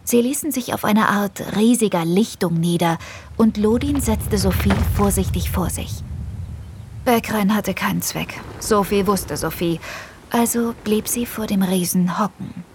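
A woman's voice: medium pitch, unhurried, background noise. A woman narrating a children's audio drama in a scripted storybook style. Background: music.

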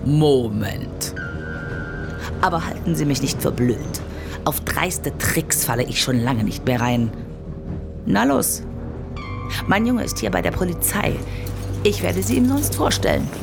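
A woman's voice: low voice, big pitch swings, measured pace, gravelly, long pauses, over music, full character voice. An actress playing a suspicious, stern older Saxon woman in an audio drama, speaking with a Saxon accent. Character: suspicious, stern old Saxon granny on a train. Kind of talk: German audio drama acting.

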